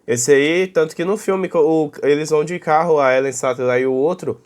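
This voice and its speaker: A man with a Brazilian accent and a slightly higher-pitched voice reacts off the cuff. He talks informally, with plenty of slang, as the host of a reaction video. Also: moderate pace. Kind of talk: casual off-the-cuff reaction commentary.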